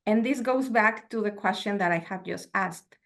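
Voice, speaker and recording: Woman giving a reflective lecture. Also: medium pitch. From Mexico. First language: Spanish. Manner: measured, reflective, asks rhetorical questions. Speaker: university lecturer. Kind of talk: academic lecture.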